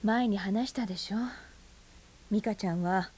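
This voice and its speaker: An actress playing a frank, breezy aunt who teases and speaks bluntly in a radio drama. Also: female voice, medium pitch, normal speed, darkish tone, lightly acted. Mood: bantering. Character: blunt, teasing independent aunt.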